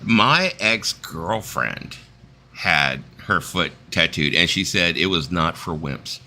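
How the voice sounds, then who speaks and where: A man's voice: low-pitched, lively intonation, takes it slowly, bright, forceful tone, slight background noise. A man in his fifties hosting a casual stream, telling loose, rambling stories with some swearing. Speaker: stream host. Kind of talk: casual chat.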